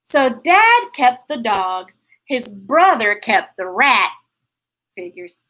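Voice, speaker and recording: Female children's read-aloud host, high-pitched and very animated, unhurried, talking straight to young listeners. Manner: playful, breaking into sing-song and sound effects. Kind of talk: animated picture-book read-aloud with chatty asides.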